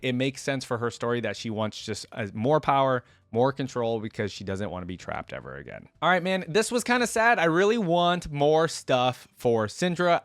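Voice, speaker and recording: A man's voice: mid-range voice, no room sound. A man hosting a reaction video, giving casual, conversational commentary straight to his viewers. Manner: informal, conversational, addresses viewers directly.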